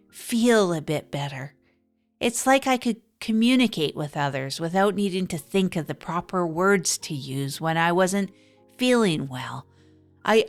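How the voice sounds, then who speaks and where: Woman doing a rambly awkward radio host for a comedy podcast drama, earnest, keeps fixing her words, bit of music behind. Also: mid-range voice. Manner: rambling, halting, self-correcting, earnest. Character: hapless, self-deprecating evening radio show host. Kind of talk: improvised comedy audio drama monologue.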